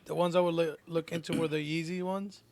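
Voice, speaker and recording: Male co-host, American, gravelly fry, casual slangy chat with swearing. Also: fairly high pitch, level tone, fairly quick, crowd noise. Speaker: podcast co-host.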